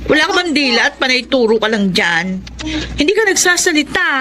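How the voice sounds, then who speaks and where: A woman's voice: sits high, very animated, fairly quick, crowd noise. A woman playing a hardworking, humble and kindly Filipino mother in a radio drama. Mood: motherly, teasing.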